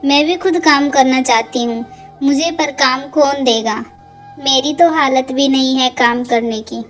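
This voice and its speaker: Woman acting a high-voiced, poor, earnest young cartoon heroine. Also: unhurried, fairly bright tone. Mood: pleading. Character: poor, pleading, kind-hearted young pregnant woman. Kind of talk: cartoon dialogue. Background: background music.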